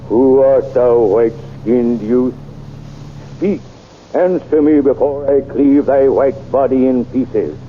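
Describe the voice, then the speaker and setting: An actor in a 1930s radio serial playing a noble, bearded warrior prince who speaks slowly and gravely in archaic thee-and-thou speech. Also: male voice, mid-range voice, a little hiss or hum, close to an everyday voice. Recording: dull old radio serial recording.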